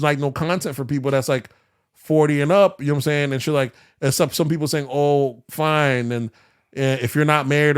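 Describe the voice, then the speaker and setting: A man's voice: medium pitch, smooth. A man co-hosting a casual podcast, giving his opinions in a slangy, profane, rambling way. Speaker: podcast co-host.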